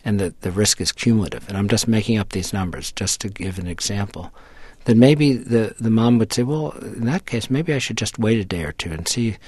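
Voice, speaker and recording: A man with a somewhat low voice answers as a scientist guest, explaining step by step in a measured way. He often doubles his words as he works through a point. The sound is dry and close to the mic. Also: a little mellow, slightly rough, dry recording.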